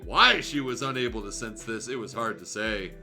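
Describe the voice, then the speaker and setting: A man's voice: lowish voice, lively intonation, moderate pace, creaky, pushed and bright, faint music behind. A man livestreaming a read-aloud of fan fiction, breaking off for sardonic, sweary, off-the-cuff commentary.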